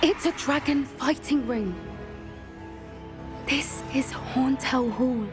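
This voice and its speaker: An actress playing a cautious, sharp young female student witch in a video game, wary and analytical. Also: high-pitched, slow pace. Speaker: student companion. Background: game music.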